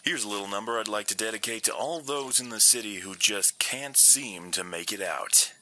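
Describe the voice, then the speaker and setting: Guy playing friendly wasteland radio host, super bright forceful sound, smooth patter. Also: lowish voice, moderate pace. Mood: genial. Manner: smooth-talking, genial. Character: genial male wasteland radio DJ. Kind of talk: radio DJ patter in audio drama.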